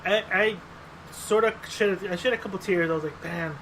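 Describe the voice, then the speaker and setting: A man's voice: high voice, moderate pace, background noise. A man chatting casually as a podcast co-host, rambling and profane, acting out quotes as he tells stories. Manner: profane, rambling, animated storytelling with acted-out quotes. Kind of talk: casual chat.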